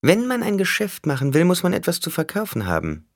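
A man's voice: sits high, wildly swooping pitch, a little fast, dry recording, clean studio sound, voice pushed up, full character voice. A man voicing a cunning shapeshifter villain in an audiobook, smug and taunting as he negotiates with menace. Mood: bargaining. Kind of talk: audiobook character acting.

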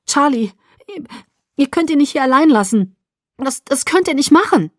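A woman's voice: high-pitched, lively intonation, moderate pace, no room sound, studio quality, voice pushed up. A woman voicing a frightened, defiant teenage girl in an audiobook story. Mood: frantic.

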